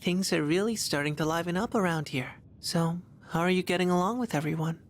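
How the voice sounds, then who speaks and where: Game casting: serene, enigmatic nightly visitor, played by a woman, deep for a female, gentle. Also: fairly quick, a little hiss or hum, lightly acted. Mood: calm.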